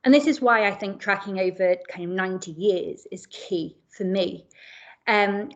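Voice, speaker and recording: A woman's voice: medium pitch, muffled recording. Woman giving an academic seminar talk. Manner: measured, with frequent fillers and self-corrections. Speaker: academic speaker.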